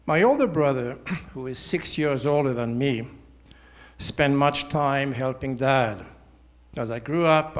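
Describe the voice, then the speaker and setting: A man, giving a prepared personal testimony in a measured, deliberate way. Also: mid-range voice. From Switzerland. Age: 70s. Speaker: church member giving testimony.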